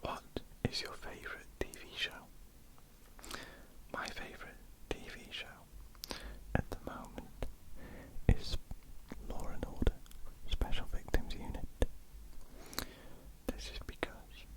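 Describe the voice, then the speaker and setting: A woman with a croaky voice, whispering ear to ear for an ASMR question-and-answer video. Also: slow, pauses often, poor audio. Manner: soft unvoiced whisper with frequent ums. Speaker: ASMR artist. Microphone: ear-to-ear stereo mic.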